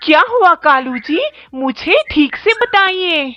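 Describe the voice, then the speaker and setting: A woman's voice: very high voice, lively intonation, takes it slowly, full character voice. A woman voicing a demanding cartoon crow wife, nagging and reproachful, in a muffled, slightly noisy recording. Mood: concerned. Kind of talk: children's cartoon story dialogue. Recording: muffled lo-fi with faint noise.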